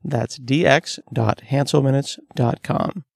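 A man's voice: mid-range voice, slow, gravelly. A man hosting an interview podcast, talking in a conversational presenter's way. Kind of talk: conversational tech interview with sponsor read.

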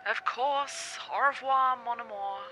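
A woman's voice: on the high side, unhurried, pushed and bright, slight background noise. An actress playing a doctor's ailing but strong-willed girlfriend, speaking in a wry, theatrical radio play manner. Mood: wry, stubborn.

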